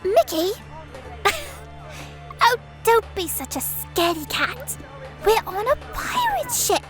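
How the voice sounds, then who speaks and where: A woman voicing an excitable, daring little girl in an audio drama. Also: extremely high pitch, slow, soft background music, full character voice. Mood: giddy, excited.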